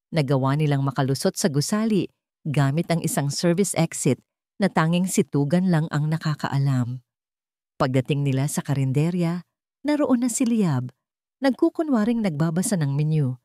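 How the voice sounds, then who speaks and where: A woman's voice: low-pitched, mellow, dry recording, clean studio sound. A woman with a Filipino accent reading a scripted, dramatic story as its narrator.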